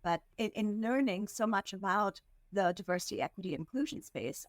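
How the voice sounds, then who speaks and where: A woman from India, a fundraising executive, giving earnest, measured answers as a podcast guest and slowing down to stress her points. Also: mid-range voice, dry recording. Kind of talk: reflective interview answers.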